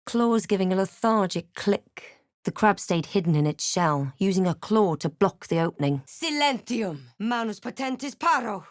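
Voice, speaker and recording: A self-possessed young fantasy adventurer, played by a woman for a video game. Her delivery is wry and measured, with a touch of drama, in a very dry, close recording. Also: mid-range voice, lively intonation, no echo, lightly acted. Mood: forceful incanting. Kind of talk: scripted game voice lines, narration and spell incantations.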